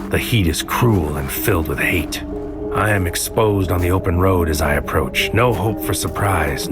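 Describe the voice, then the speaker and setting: An actor playing a world-weary psychic agent in a noir audio drama, speaking in a terse, brooding, deliberate way. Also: male voice, low-pitched, close to an everyday voice. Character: world-weary psychic agent man narrating his dangerous mission.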